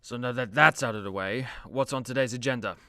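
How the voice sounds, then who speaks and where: A man's voice: mid-range voice, brisk, pushed and bright, clean tone, no echo, clean studio sound. An actor playing a hard-drinking, boastful male demigod superhero in a radio comedy, blunt and jaded. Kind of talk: comedy radio play acting.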